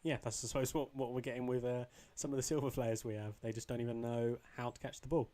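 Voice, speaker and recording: A young man with a slightly croaky voice, casually commentating his own football video game match, rambling with ums and restarts. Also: mid-range voice, little pitch movement, quick pace, warm tone. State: a bit croaky. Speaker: gaming channel host.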